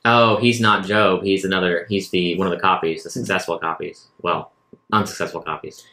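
A man's voice: fairly even intonation, brisk, pushed and bright. A man chatting casually as he plans moves as a player in a tabletop game. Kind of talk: casual in-game planning chat. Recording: faint rumbling noise.

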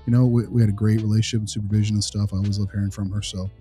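A man's voice: lowish voice, flat delivery, rapid-fire, warm tone, husky, faint music behind. A man playing an easygoing behavior consultant, talking informally and reassuringly in a role-played meeting with a parent.

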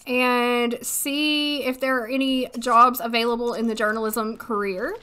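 Hoarse bright-voiced woman streaming a game. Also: a little high, level tone, unhurried. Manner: chatty, thinking aloud, frequent little laughs. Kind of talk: casual gameplay commentary. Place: home room, seated at desk.

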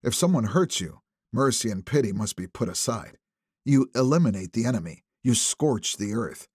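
A man's voice: mid-range voice, expressive, normal speed, husky, dry recording, studio quality. A man reading a thriller novel as scripted audiobook narration.

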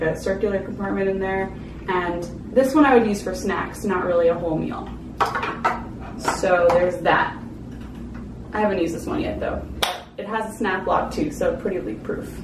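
Young woman chatting in a roomy, noisy recording. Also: mid-range voice. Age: young adult. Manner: chatty, informal. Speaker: food vlogger reviewing products. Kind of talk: casual product review to camera. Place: small indoor room, seated at a table. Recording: roomy, distant mic with clear background noise.

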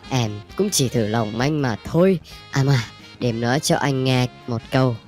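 A man acts out a young company boss who sneers and talks down to everyone. The delivery is theatrical cartoon comedy, mocking and self-satisfied. Soft music plays behind. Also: medium pitch, normal speed, natural voice. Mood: gloating. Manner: condescending, theatrical. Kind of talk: amateur animated comedy skit dub. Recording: background music under the voice.

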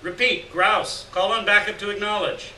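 A man voicing a brisk fire-lookout dispatcher in a live story reading, loud, clipped and official. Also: high-pitched, fairly even intonation, pitched above the performer's own voice. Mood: urgent, official. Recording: roomy, distant mic, faint noise.

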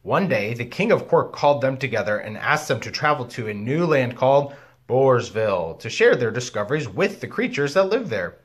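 A man in his thirties reading a children's storybook aloud as a teacher, with exaggerated, expressive emphasis, recorded indoors at home. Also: medium pitch, fairly quick. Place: living room, indoors.

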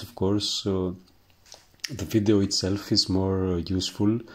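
Greek man, painting teacher, slow halting delivery, lowish voice, lots of ums. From Greece. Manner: halting, searching for words, many fillers. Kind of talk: instructional voice-over while painting.

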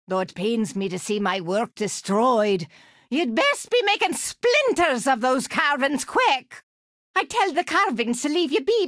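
A woman's voice: sits high, a bit bright, no room sound, studio quality, full character voice. A woman voicing a crotchety, scheming old witch for a video game, with a Scottish accent and a theatrical, scolding, mock-sweet manner. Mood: taunting, scolding. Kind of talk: animated video game voice acting.

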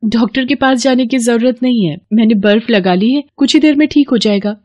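A woman voicing a meek, sweet, long-suffering young daughter-in-law in an animated moral story, polite and plaintive in manner. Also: fairly high pitch, fairly quick, dry recording, pitched above the performer's own voice, lightly acted.

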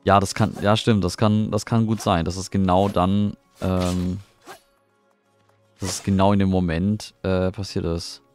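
A man's voice: deep voice, little pitch movement, measured pace, a little mellow. A middle-aged man chatting casually while streaming a game from home, rambling and answering his chat, often puzzled. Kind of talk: casual live gameplay commentary. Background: faint game music.

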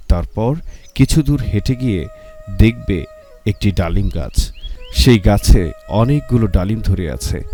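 A man's voice: lowish voice, mellow. A man voicing a benevolent holy man from a dream in a storybook tale, testing and guiding. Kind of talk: storybook character voice.

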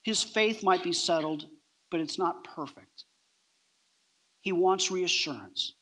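Man, middle-aged, preacher, high-pitched, bright forceful tone, emphatic phrasing, sermon delivery. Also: rough recording. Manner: emphatic, with deliberate pauses between short phrases. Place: large church auditorium, on stage.

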